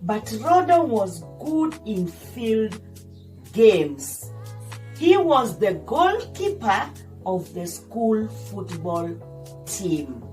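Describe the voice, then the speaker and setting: A woman's voice: medium pitch, big pitch swings, drawn-out words, darkish tone. A woman reading a children's story aloud as a teacher, explaining and repeating points in a didactic way for young listeners. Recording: distant microphone, music behind the voice. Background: music.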